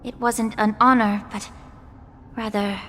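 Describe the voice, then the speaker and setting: An actress playing a sharp-minded young woman, a healer's disciple, who questions a general in a formal, probing way, in a game cutscene. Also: medium pitch, flat delivery, unhurried, slight background noise, natural voice. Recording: reverb with background music.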